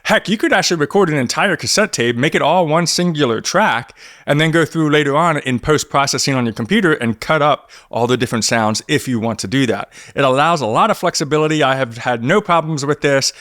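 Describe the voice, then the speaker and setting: A man's voice: on the high side, fairly quick, a bit bright, no echo. A man in his thirties giving a conversational, step-by-step product review to camera, close on a desk microphone. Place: small home office studio, indoors. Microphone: close desk microphone on boom arm.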